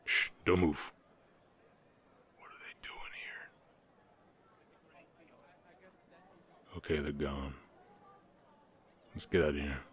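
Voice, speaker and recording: A man playing a gentle, reserved orc ranch hand with a Southern US accent, speaking haltingly and earnestly in an intimate Western romance roleplay. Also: a bass voice, steady tone, whispered. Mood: wary, protective.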